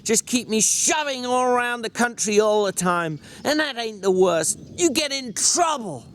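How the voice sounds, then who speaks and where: A man's voice: extremely high pitch, animated, moderate pace, a bit bright, slight background noise, voice pushed up. A man voicing an exasperated, ranting ranch drifter with a secretly caring streak in a dramatic novel reading. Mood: furious, exasperated.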